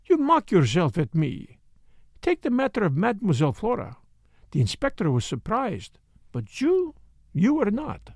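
A man's voice: sits high, wildly swooping pitch, moderate pace, gravelly, darkish tone, dry recording, clean studio sound, pitched above the performer's own voice, heavily acted. A man voicing a fussy, self-satisfied Belgian detective in an audiobook, speaking with a put-on French accent in a precise, mannered way. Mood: smug, teasing. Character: fussy, smug middle-aged male Belgian detective. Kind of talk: audiobook character voice.

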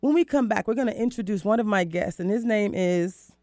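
A woman's voice: medium pitch, brisk, dark tone, no echo, clean studio sound. A woman hosting a radio talk show in an emphatic, enthusiastic, conversational way. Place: dry radio studio.

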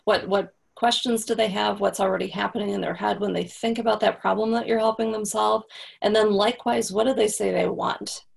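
Woman with heavy vocal fry and a husky edge, chatty how-to talk. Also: mid-range voice, steady tone, a little fast. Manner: practical, explanatory, frequent "right?". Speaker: copywriting guest. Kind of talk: casual podcast interview.

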